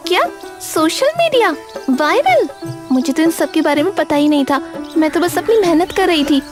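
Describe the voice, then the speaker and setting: Lady doing a very high earnest village girl vendor for a cartoon, lively swoops, music behind. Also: moderate pace. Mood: surprised, modest. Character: hardworking, cheerful young village woman food seller. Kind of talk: cartoon dialogue.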